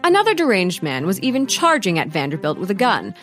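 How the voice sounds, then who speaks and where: An actress playing the wry narrator of a comic period audio drama, reading scripted narration. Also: female voice, mid-range voice, wildly swooping pitch, fairly quick, fairly bright tone, faint music behind. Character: wry female storyteller of a comic period tale.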